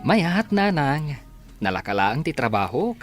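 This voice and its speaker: A man's voice: on the high side, sing-song, unhurried, music in the background. A man with an Ilocano accent playing a meek, dutiful office employee in a radio comedy drama. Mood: mild, respectful.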